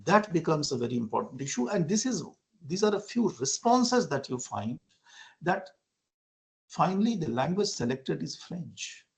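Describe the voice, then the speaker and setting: A man's voice: on the high side, sing-song, normal speed, gravelly. A man from India, giving an academic lecture over a video call, explaining with rhetorical questions and frequent "uh" fillers. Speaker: university lecturer.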